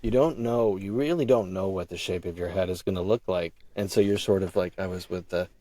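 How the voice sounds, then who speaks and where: Low, mellow-voiced man chatting casually. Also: fairly quick. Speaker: podcast guest, audio drama creator. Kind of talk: casual chat.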